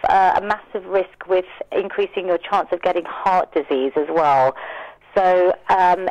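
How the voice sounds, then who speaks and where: A woman's voice: on the low side, dry recording, dull audio. British woman explaining earnestly, dry close sound. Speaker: radio interview guest, fitness campaigner. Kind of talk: informative radio interview answers.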